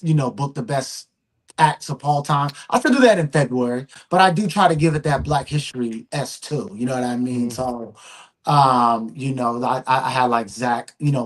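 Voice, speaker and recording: A man who runs a comic publisher and convention, chatting casually in a relaxed, rambling way with many fillers. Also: medium pitch. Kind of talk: casual podcast chat.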